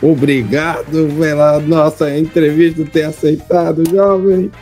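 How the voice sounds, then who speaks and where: A man's voice: on the high side, level tone, a little slow, a little mellow. A man with a Brazilian accent hosting a casual podcast interview. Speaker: podcast host. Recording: music in the background.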